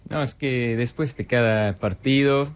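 A man's voice: mid-range voice, measured pace, clear, resonant tone. A young man in his twenties from Scotland, whose first language is English, answering interview questions in learned Spanish with a Scottish accent, hesitant, with short answers and restarts. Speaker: guest, bagpiper.

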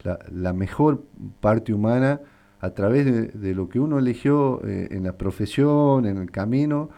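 A man from Catamarca, Argentina, with a Catamarca accent, a singer guesting on a radio interview who talks in an unhurried way, with many hesitations. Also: mid-range voice, warm tone. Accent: Catamarca Argentine Spanish. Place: radio studio.

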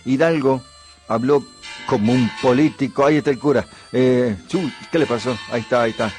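An Argentine man in the middle of his pitch range tells history in a loose, rambling way. He fills his talk with "eh" and "¿no?" tags, and soft music runs faintly underneath. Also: fairly quick. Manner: chatty and digressive, with frequent "eh" and "¿no?" asides. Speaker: program host. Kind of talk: casual history storytelling.

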